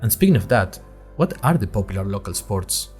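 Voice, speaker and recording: A man's voice: fairly low pitch, sing-song, a little fast, darkish tone, soft background music. A man playing a chatty, nervous young college student who narrates his story in a wry, conversational way with dramatic emphasis. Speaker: narrator of an audio fiction podcast. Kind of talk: scripted first-person storytelling, diary-like.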